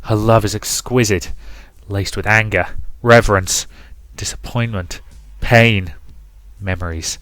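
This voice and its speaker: A man voicing a seductive, obsessive male incubus in a visual novel, hushed and savouring each word. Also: lowish voice, fairly even intonation, unhurried, no room sound. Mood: ravenous, savouring. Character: seductive ravenous male incubus, breathy, gloating, obsessive lover. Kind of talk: dramatic visual novel reading.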